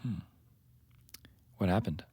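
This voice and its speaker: A man's voice: takes it slowly, mellow, no echo, natural voice. A man playing an easygoing, slightly awkward young lover, speaking casually and tentatively in an intimate audio drama. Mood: casual, thoughtful.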